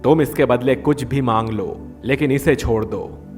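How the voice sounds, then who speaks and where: Guy playing a calm majestic god, formal and stately, medium pitch, light music behind. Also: measured pace, lightly acted. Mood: calm, firm. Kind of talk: devotional story dramatization.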